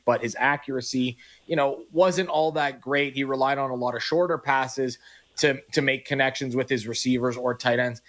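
Man, sports talk host, mid pitch, casual and thinking aloud. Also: a bit bright.

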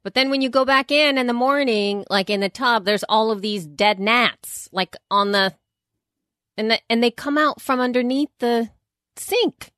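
A woman from El Salvador chatting casually as a podcast co-host, animated and exasperated, her pitch swooping up. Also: moderate pace, no room sound, clean studio sound. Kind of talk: casual chat.

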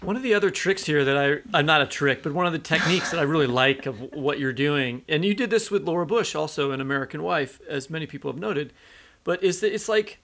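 A man co-hosting a literary podcast, talking through his thoughts in a halting, conversational way. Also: on the high side, animated, creaky, fairly bright tone. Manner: halting, conversational, thinking aloud. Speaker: podcast co-host. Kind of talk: literary interview discussion.

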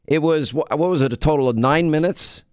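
A man's voice: on the high side, a little fast, a little mellow, dry recording. Middle-aged radio guy, voice a bit shot, rambly chatty monologue, dry studio sound. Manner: rambling, storytelling, self-interrupting. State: voice going in and out. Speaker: radio talk show host.

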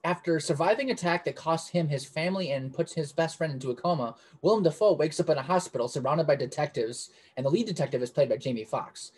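A man reads out a scripted movie pitch at an even pace. His voice sits a little high and has a husky edge. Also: fast talker, hardly any pauses. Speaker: contest winner. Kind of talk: read-out pitch.

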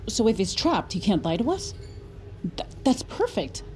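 A woman's voice: on the high side, very animated, normal speed, a little mellow. An actress playing a haunted, desperate mother in an audio drama, earnest, hesitant and strained. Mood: urgent pleading. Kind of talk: audio drama acting with first-person narration. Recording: music under the voice.

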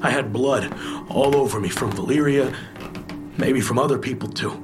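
Casting: actor for wounded, remorseful man, mid-range voice, halting confessional delivery, audio drama with prominent score. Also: medium pitch, natural voice. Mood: numb, confessional. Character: Diego, guilt-ridden grieving man confessing, bitter, wounded. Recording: music playing under the voice.

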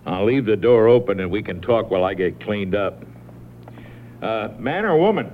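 An actor playing a cagey, patronising killer in a radio mystery, casual and controlled with cool menace. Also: male voice, on the low side, animated, moderate pace, close to an everyday voice. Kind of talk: radio mystery drama acting. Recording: tinny band-limited vintage radio, faint noise.

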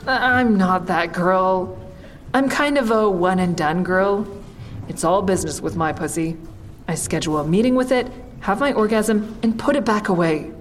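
A woman's voice: mid-range voice, moderate pace, a little hiss or hum, lightly acted. An actress playing a frank, sardonic young woman who narrates her own story in an audio drama in a confessional, wry way. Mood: brash, joking. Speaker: actor in a fiction podcast.

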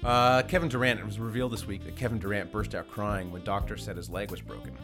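A man's voice: lowish voice, steady tone, fast, music in the background. A man hosting a casual comedy podcast, stumbling over words and often laughing at his own jokes. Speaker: podcast host reading jokes and narration.

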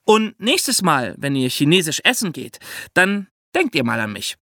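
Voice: medium pitch, sing-song, moderate pace, sharp tone, no room sound, clean studio sound. A podcast guest explaining things to young listeners with vivid comparisons and questions. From China.

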